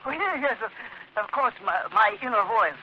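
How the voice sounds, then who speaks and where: An actor playing a deranged, grandiose organist and bomber obsessed with silence, in a theatrical, gleeful, rambling 1930s radio drama style. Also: male voice, very high voice, very animated, normal speed, highs cut off. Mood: manic glee. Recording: noisy, band-limited old 1930s radio recording.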